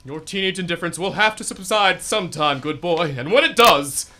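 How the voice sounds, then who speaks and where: Pompous clumsy superhero for a radio play, man voicing; high, bright, very animated. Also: brisk. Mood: heroic. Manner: booming self-righteous declarations, stammers when flustered. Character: pompous clumsy male superhero, bird-fearing. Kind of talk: student radio drama acting.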